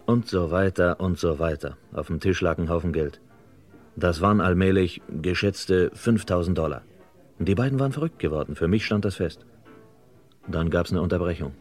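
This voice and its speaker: Laconic low-voiced reporter narrator in vintage crime drama. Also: male voice, normal speed, darkish tone. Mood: laconic, dry. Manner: laconic, dry, clipped sentences. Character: wry, cool male newspaper reporter narrating a crime story. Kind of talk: 1950s-80s German crime radio play narration and dialogue. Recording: vintage radio play with music.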